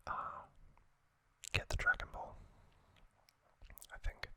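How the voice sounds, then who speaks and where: An ASMR host speaking in a breathy, unvoiced whisper, giving a hesitant, off-the-cuff recap. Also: takes it slowly, pushed and bright.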